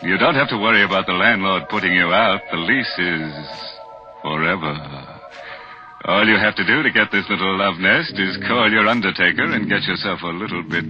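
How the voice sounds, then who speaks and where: A male actor plays a morbid, sardonic horror host on 1940s radio. His voice is low and theatrical. It comes through a dull old recording with music clearly behind it. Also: moderate pace, natural voice. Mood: morbidly playful. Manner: sardonic, theatrical, savoring macabre jokes. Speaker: host and narrator.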